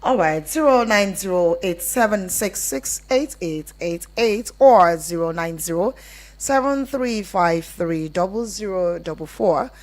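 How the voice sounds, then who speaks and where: A Nigerian woman hosts a live phone-in on the radio. Her voice sits a little low for a woman as she talks to callers and listeners. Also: expressive, a little slow. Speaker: radio phone-in show host. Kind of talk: live call-in radio hosting.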